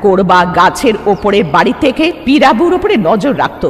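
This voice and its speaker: A man's voice: sits high, very animated, moderate pace, breathy edge. A man narrating a scripted cartoon story in a dramatic storytelling manner. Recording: long reverb, music underneath.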